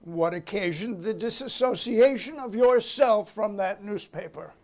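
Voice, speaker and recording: A man's voice: sits high, a little slow, darkish tone. A man playing a formal, insistent committee counsel who questions a witness courteously in a dramatic reading. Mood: formal, probing. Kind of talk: dramatic reading of a hearing transcript.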